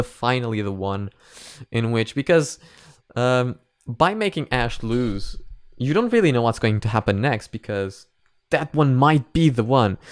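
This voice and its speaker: A man with a medium-pitched, clean and resonant voice delivers casual commentary as a video host. He rambles emphatically, informal and a little sweary. The sound is close and dry, free of room echo. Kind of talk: casual opinion commentary on anime.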